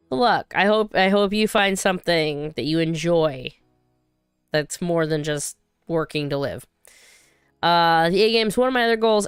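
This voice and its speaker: A woman's voice: mid-range voice, clear, resonant tone, no room sound, clean studio sound. A woman hosting a casual livestream, rambling and chatty as she reads viewer comments, her voice still recovering from being sick. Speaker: livestream host.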